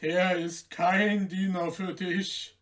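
A man voicing a huge, gruff, gullible old giant from a fairy tale, with a booming, grumbling, drawn-out delivery. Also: flat delivery, fairly bright tone. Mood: grumbling, alarmed. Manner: slow, drawn-out, emphatic delivery.

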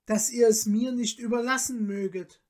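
A woman's voice: on the high side, steady tone, moderate pace, a little mellow, voice pushed up, natural voice. A woman voicing a gracious, emotional noble countess from a storybook, with courtly old-fashioned diction. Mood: polite, curious. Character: gracious noble countess, deeply moved and grateful.

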